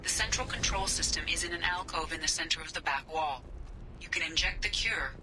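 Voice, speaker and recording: A woman's voice: on the low side, over music, close to an everyday voice, poor audio. A woman playing a composed, informative technical advisor in a science fiction video game. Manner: measured, informative. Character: composed, helpful technical advisor aboard a space mission.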